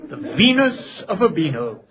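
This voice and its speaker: A man's voice: high voice, sing-song, moderate pace, dull audio, a crowd in the background. A man playing a vain, courtly, flirtatious poet in a radio drama, florid and pompous, savoring his words theatrically. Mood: suave, teasing.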